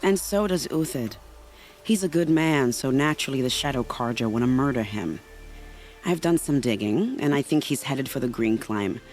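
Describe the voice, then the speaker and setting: A woman's voice: rumbling low, expressive, moderate pace, a little mellow, faint music behind. An actress playing a witty, flirtatious woman spy in a video game, evasive and playfully sardonic. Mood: playful, intriguing.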